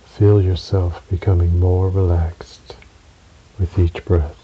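A man narrating a guided relaxation meditation in a soothing, measured way, with long pauses between short phrases. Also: sits low, flat delivery. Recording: dull recording with audible hiss.

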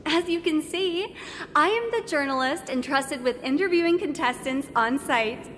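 A woman's voice: sits high, lively intonation, normal speed, fairly bright tone, slight background noise. A woman playing a polite, eager young journalist in a video game, with a formal presenter's manner. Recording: long reverb, music behind. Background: music.

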